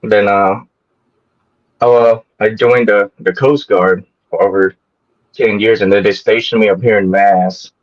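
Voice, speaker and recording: A man's voice: on the low side, steady tone, measured pace. A man originally from Vietnam, a guest on a live show, telling a casual story in a rambling, hesitant way with many restarts. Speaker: guest kayak angler. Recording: muffled lo-fi audio.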